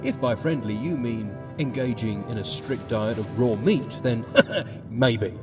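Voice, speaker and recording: An actor playing a grumbling, sarcastic space delivery pilot in a comedy audio drama. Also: male voice, mid-range voice, measured pace, music in the background, lightly acted. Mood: incredulous, cynical. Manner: grumbling, sardonic, theatrical sighing.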